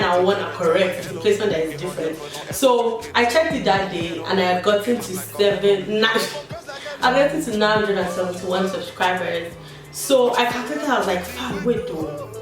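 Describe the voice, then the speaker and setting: A young woman chatting candidly while doing her makeup, with slangy asides. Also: mid-range voice. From Nigeria. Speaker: beauty vlogger. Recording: echoey room, distant mic, background music. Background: background music.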